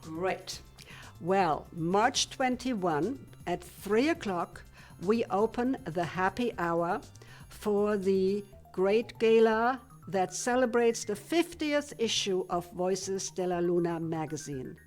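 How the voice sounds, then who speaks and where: An older woman from Germany, a retired physicist, answering questions in a studio TV interview in a measured, plain-spoken way. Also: medium pitch, expressive, darkish tone, soft background music. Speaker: guest, retired physicist turned painter.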